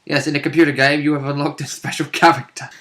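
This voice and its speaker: A man's voice: fairly high pitch, little pitch movement, fast, fairly bright tone. A man who plays tabletop games, chatting casually and animatedly about a session, swearing freely. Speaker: tabletop player. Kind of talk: casual chat recapping a tabletop game.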